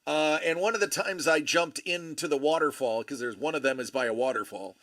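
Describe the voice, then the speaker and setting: A man's voice: a little high, a little fast, a bit bright, dry recording. A man hosting a live card break stream, chatting casually and telling rambling, joking stories. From Buffalo area, USA.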